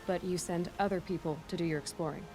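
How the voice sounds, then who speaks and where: A sceptical young huntress from a video game, acted by a woman. She is direct and probing in a slightly low voice, with soft music in the background. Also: steady tone, fast, natural voice, rough recording. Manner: plain-spoken, probing, sceptical. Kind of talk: video game dialogue acting.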